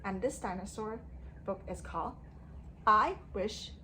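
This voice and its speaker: A woman teacher reading a story aloud to young children in an expressive way, using simple phrasing and putting questions to them. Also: medium pitch, measured pace, noisy background. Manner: expressive, emphatic delivery.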